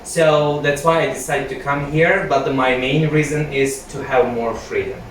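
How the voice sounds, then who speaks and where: A man from Turkey, a guest in a casual interview chat, talking in a relaxed, thoughtful way with pauses. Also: mid-range voice, a little hiss or hum. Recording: echoey room, voice from far off.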